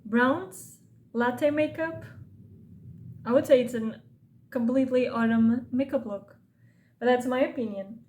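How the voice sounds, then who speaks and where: A woman's voice: a little high, unhurried, pauses often, darkish tone, a little hiss or hum. A young Portuguese woman with a Portuguese accent, for whom English is a second language, chatting casually in a makeup vlog with rambling asides and self-corrections. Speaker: beauty vlogger. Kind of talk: chatty get-ready-with-me makeup talk.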